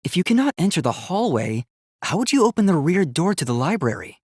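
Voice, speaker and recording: Casting: earnest young robot boy for a video game, very expressive pitch, courteous and literal-minded, close dry sound. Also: fairly quick, breathy edge, dry recording, full character voice. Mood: analytical, matter-of-fact. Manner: formal, precise, literal-minded phrasing. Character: earnest, polite young male robot, naive but resolute.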